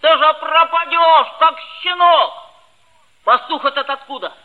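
An actress playing a bossy, hot-headed but loyal schoolboy of about thirteen, commanding and emphatic, in a 1960s Soviet radio play. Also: female voice, sits high, measured pace. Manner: commanding, self-assured, emphatic. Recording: dull old radio recording with music.

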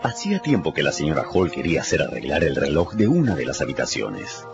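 Very deep, creaky male radio narrator. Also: wildly swooping pitch, fast talker. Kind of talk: dramatic scripted narration of a radio novel. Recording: muffled radio production with music underneath.

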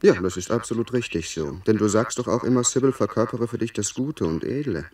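A man's voice: on the low side, brisk, darkish tone, slightly rough, far from the mic. A man playing an insistent tempter urging duty in a German radio play, persuasive and theatrical, sounding set back from the microphone. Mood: persuasive, approving. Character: smooth-talking, insistent inner tempter urging a young lord to duty.

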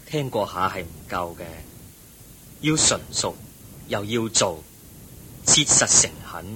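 Calm wise male teacher, Hong Kong Cantonese, measured admonishing, bright voice, radio drama, faintly noisy recording. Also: lowish voice, heavily acted. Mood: earnest, calm.

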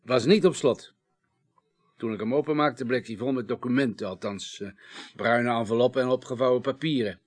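An actor playing a formal, contrite undertaker in a radio play, speaking in precise, legalistic phrases with hesitations. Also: male voice, medium pitch, moderate pace, close to an everyday voice. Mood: careful recounting. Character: formal, contrite male undertaker giving a careful statement. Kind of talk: 1980s Dutch radio play acting.

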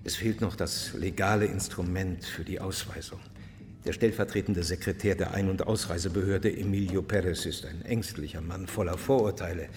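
An actor playing a businesslike, matter-of-fact South American government official in a radio play. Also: male voice, low voice, steady tone, moderate pace, darkish tone, natural voice. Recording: long hall reverb, faint noise.

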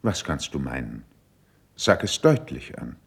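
A man acting a composed, persuasive courtier in a classical verse radio play, with a husky voice and a deliberate, courteous, emphatic delivery. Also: rumbling low, very animated, exaggerated voice. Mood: cautioning, persuasive. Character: composed, diplomatic male courtier, persuasive and cautious.

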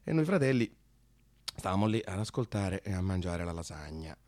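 A man with a Roman accent telling an autobiographical story as a theatrical monologue, colloquial and animated, with hesitations. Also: sits low, normal speed, no room sound. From Italy. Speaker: actor and storyteller.

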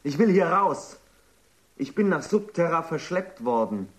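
An actor playing a defiant, distrustful surface-dweller who gives curt orders in a science fiction radio drama. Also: male voice, a little high, expressive, measured pace, slightly rough. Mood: angry defiance. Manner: curt, barking commands.

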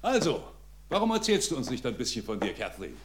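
Ein Mann spielt in einem deutschen Hörspiel einen resoluten Lehrer vor seiner Klasse. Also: male voice, mid-range voice, huge pitch swings, a little fast. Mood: brisk. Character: brisk male schoolteacher addressing his rowdy class. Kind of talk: German audio drama acting. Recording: loud background noise.

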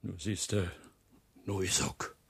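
A man's voice: sits low, takes it slowly, a bit bright, no room sound, heavily acted. An actor playing a coarse but kindly foreman in a radio drama, speaking folksy Silesian German dialect. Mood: gentle, coaxing. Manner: folksy, gruff dialect talk.

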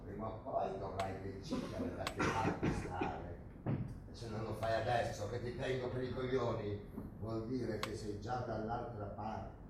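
A male stage actor playing a coarse, cynical, menacing interrogator for a regime, taunting and threatening with deliberate delivery. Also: fairly low pitch, fairly even intonation, close to an everyday voice. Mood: cold, threatening. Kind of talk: live stage drama acting. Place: theatre stage, indoors. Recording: echoey, noisy theatre recording from far off.

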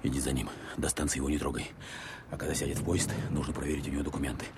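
An actor playing a gruff, wary Soviet army captain who gives terse, authoritative, secretive orders in a war radio drama. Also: male voice, a bass voice, flat delivery, racing, background noise. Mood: commanding, hushed. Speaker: commanding officer.

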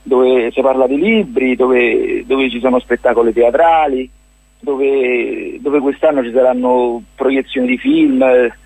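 A man with a Roman accent chatting informally as a guest on a radio show, halting, rambling and joking at his own expense. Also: medium pitch, vocal fry, a little mellow, husky, no room sound, rough recording. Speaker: guest presenting a cultural festival. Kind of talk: informal radio interview, unscripted chat.

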